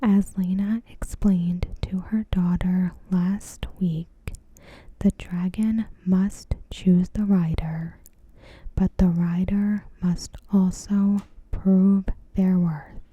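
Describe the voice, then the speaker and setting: A woman ASMR host reads a review in a soft, breathy, unvoiced whisper with a deliberate delivery. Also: on the low side, steady tone, slight background noise.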